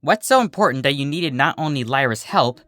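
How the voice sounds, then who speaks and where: A storyteller playing a stern, suspicious female earth pony with a brisk, scolding manner. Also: mid-range voice, animated, fairly bright tone, close to an everyday voice. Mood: annoyed.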